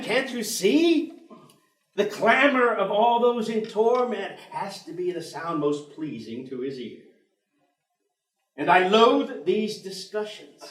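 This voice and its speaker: A man's voice: on the high side, a bit bright. A man on stage playing a bitter, articulate atheist professor who longs for death, in a theatrical declamatory delivery. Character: bleak, bitter, intellectual atheist male professor longing for death. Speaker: stage actor in a play. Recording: echoey theatre stage, distant microphone.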